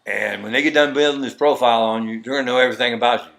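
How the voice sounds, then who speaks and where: A man's voice: medium pitch, very fast, creaky, a bit bright. A middle-aged man with a Texas accent, a guest telling a long story and acting out what others said. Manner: rambling, acts out quoted dialogue. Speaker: interview guest. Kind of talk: conversational storytelling.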